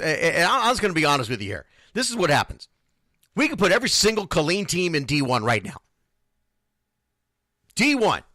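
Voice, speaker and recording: Man, sports radio host, bright forceful voice, blunt and emphatic, close dry sound, unscripted. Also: high-pitched, no room sound. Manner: blunt, emphatic, repeats phrases for stress. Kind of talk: unscripted sports talk, answering listeners' comments.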